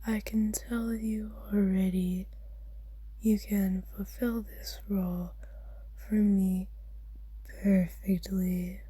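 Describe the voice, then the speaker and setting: A woman playing an ancient, wealthy vampire, a kind but possessive owner, in a soft, soothing whispered ASMR roleplay with formal phrasing. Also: medium pitch, level tone, takes it slowly, breathy edge, no echo. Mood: gently authoritative.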